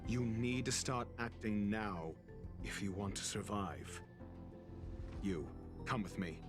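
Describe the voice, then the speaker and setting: Low voiced calculating detective type from a game, imperious n sardonic, very measured, loud music behind. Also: male voice. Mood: grave, ominous. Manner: measured, formal, theatrical. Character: cool, calculating male investigator with a sardonic, imperious manner. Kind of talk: dramatic video game voice acting.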